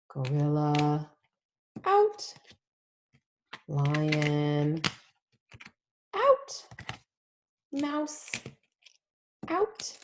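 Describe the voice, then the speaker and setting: Female read-along teacher for little kids: lowish voice, very slow pacing, exaggerated child-directed delivery. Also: a little hiss or hum. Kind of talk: picture-book read-along for young children.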